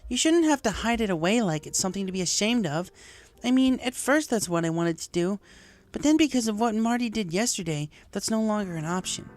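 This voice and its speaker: A woman's voice: medium pitch, expressive, fairly quick, darkish tone, voice pushed up, natural voice. A woman voicing a proud, assertive young mare pony who speaks in a defensive, argumentative way in a story. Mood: defiant, heated. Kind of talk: fan fiction character dialogue. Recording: music behind the voice.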